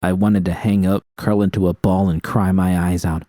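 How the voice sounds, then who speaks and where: A man's voice: low-pitched, level tone, moderate pace, dark tone, no echo, studio quality. A man reading first-person fiction as an audiobook narrator, with dramatic storytelling delivery.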